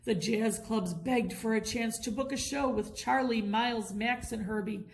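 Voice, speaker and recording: A woman reading a children's bedtime story aloud in an expressive, deliberate storytelling manner. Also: a little high, creaky, slight background noise.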